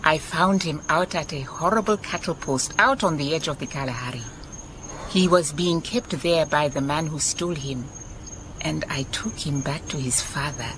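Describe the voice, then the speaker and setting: An actress playing a warm, wise woman detective with a Southern African accent in a radio drama, speaking in a measured, emphatic way. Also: low-pitched, poor audio. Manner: unhurried, measured, emphatic repetition. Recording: noticeable background noise, chirping night insects.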